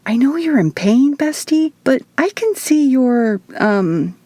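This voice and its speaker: A woman's voice: high voice, very animated, slow pace, dark tone, no room sound, voice pushed up, exaggerated voice. A woman voicing a cheeky, fussing, bossy young witch for an audiobook. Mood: teasing whisper. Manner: fretful, bossy, teasing. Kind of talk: audiobook character voice.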